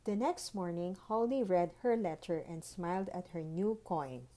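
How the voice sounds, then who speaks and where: A woman's voice: fairly low pitch, a little slow, dark tone. A woman narrating a children's picture book expressively, as a read-aloud storyteller. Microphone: phone recording.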